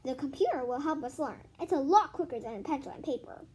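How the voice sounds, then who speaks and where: A female reader voicing a brisk, fussy, cheerfully oblivious woman schoolteacher with a theatrical singsong delivery. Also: high-pitched, lively intonation, darkish tone, a little hiss or hum. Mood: instructive.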